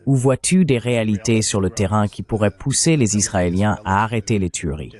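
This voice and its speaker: Guy translating an interviewer, slightly low voice, political interview questions. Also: lively intonation, normal speed, a little mellow.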